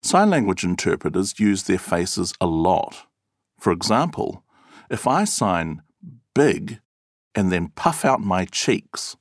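A man reading an interviewee's answers aloud in a clear, measured and expressive way. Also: sits low, no echo, clean studio sound. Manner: clear, measured and expressive, acting out example words. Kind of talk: scripted read-aloud.

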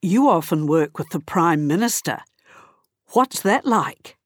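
A woman reads an article and its interview questions aloud. Her voice sits low for a woman, and her delivery is plain and steady. The sound is dry and close. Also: a little slow, no room sound. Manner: plain, steady storybook reading. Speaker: narrator and interviewer. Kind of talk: scripted read-aloud.

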